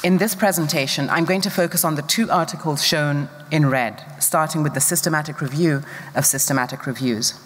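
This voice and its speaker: Conference presenter, formal and measured, middle pitch, long hall reverb. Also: fairly bright tone. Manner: measured, deliberate, scripted delivery.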